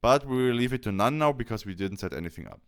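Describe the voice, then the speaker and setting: A man presenting a software tutorial as a voice-over, explaining things step by step in plain, short instructional phrases. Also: medium pitch, expressive, fast talker, clear, resonant tone.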